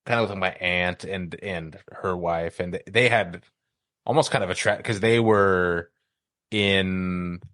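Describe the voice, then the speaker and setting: A man co-hosting a podcast in casual chat, talking in a halting, rambling, hedging way. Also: sits low, fairly even intonation, fairly bright tone, no room sound, clean studio sound. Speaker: podcast co-host.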